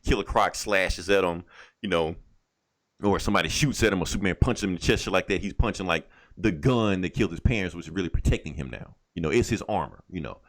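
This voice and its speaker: A man's voice: low voice, fast talker. A man co-hosting a casual podcast about comics and movies, talking in an emphatic, opinionated way with plenty of swearing. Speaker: podcast co-host.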